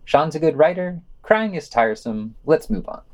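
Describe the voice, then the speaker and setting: A man's voice: a little high, big pitch swings, moderate pace. A man reading scripted news summaries and quotations for a podcast, adding casual, sardonic asides.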